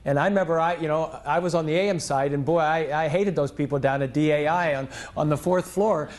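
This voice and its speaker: A man's voice: fairly high pitch, brisk. An older American man, a radio industry veteran, reminiscing informally at a conference.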